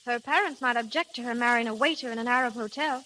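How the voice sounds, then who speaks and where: A woman acting a bossy, sharp-tongued young American lady in a 1930s radio drama. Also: on the high side. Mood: teasing. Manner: brisk, exasperated, sharp-tongued. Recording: hissy, crackling 1930s radio recording.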